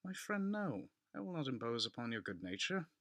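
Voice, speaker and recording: A man's voice: mid-range voice, very animated, fairly quick, sharp tone, no room sound, pitched above the performer's own voice. A man playing a scheming Italian nobleman in a dramatic audiobook reading, courteous and insinuating with feigned concern. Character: scheming, falsely solicitous Italian nobleman, outwardly polite.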